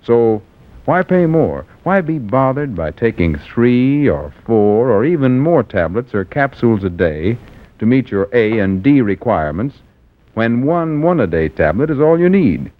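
A man reading a 1940s radio commercial as announcer, measured, emphatic and persuasive. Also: mid-range voice, sing-song. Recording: thin, narrow-band vintage radio recording.

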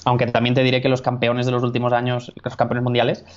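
A man's voice: medium pitch, monotone, fast. Spanish man, thoughtful and muffled. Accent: Spain Spanish. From Spain. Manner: thoughtful, many restarts, laughs easily. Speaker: public speaking coach. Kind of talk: casual interview chat. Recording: dull lo-fi sound.

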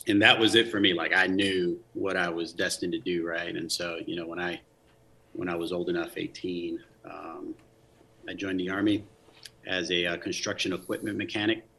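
A man's voice: sits low, level tone, moderate pace, vocal fry, pushed and bright. A man in his forties from the Dominican Republic, a soldier telling his life story as a guest in a casual interview, with frequent restarts. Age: 40s. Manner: many restarts and fillers, earnest. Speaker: guest, Army command sergeant major.

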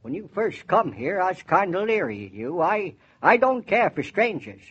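An actor playing a folksy, proud old rural Yankee neighbor with a New England accent and hesitant, homespun talk, in 1940s radio drama style. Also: male voice, sits high, very animated, moderate pace, fairly bright tone, a little hiss or hum. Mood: eager, folksy. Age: older. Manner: rustic, drawling hesitations and homespun phrases. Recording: dull, band-limited 1940s radio recording.

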